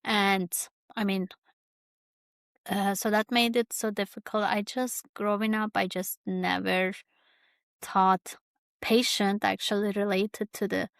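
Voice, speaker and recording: Halting woman with a creaky, even voice. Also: mid-range voice, no echo. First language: Kurdish. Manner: halting, searching for words. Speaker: podcast host. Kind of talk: personal reflective monologue.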